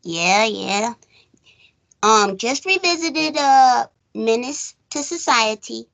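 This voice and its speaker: A woman with a fairly high voice and a clearly creaky edge hosts a casual review show. She takes her time, stating opinions firmly and repeating phrases for emphasis. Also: expressive, slow pace, fairly bright tone. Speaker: host of a movie review show.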